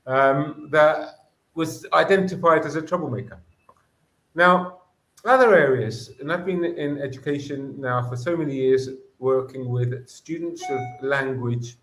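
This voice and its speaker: A man whose first language is Greek speaks about schooling with earnest passion and often restarts his sentences. His voice sits at a middle pitch and rings out in a roomy, echoing space. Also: a bit bright. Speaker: guest educator, former school principal. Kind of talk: conversational discussion.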